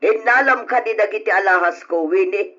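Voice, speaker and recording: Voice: mid-range voice, a little fast, bright, forceful tone, lightly acted. A performer voicing a stern rich lady employer who scolds and accuses, in an old radio drama. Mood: scolding. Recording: dull old radio recording.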